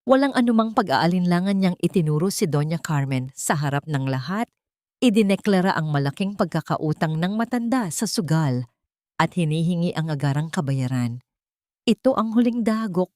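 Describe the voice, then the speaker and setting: A woman with a Filipino accent narrating a scripted story in a measured, formal and dramatic way. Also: lowish voice, lively intonation, a little mellow, no echo, studio quality.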